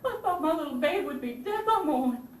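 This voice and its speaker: Woman acting a proud southern first lady in a stage play, highish voice, theatrical and genteel, echoey room far from mic. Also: animated, a little fast. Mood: sorrowful. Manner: theatrical, genteel, emphatic, swinging from anguish to haughty scorn. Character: proud, indignant Southern First Lady of the Confederacy, wounded mother. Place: theatre stage, recorded from a distance. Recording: roomy distant stage recording with hiss.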